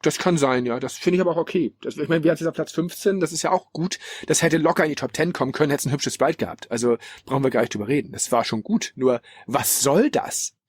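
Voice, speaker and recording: A man's voice: on the high side, fast, hardly any pauses, breathy edge, no room sound, clean studio sound. A man hosting a casual podcast, talking in an opinionated, emphatic, colloquial way. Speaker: podcast host presenting a ranking. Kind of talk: casual retro-gaming podcast talk.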